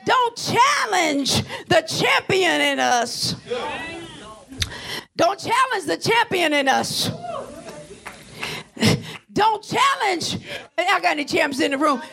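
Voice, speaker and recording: A man preaching a sermon, shouting in a repetitive, chanting, half-sung way in a church. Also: extremely high pitch, sing-song, slow pace, creaky, pauses often. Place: church sanctuary. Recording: live church with crowd behind.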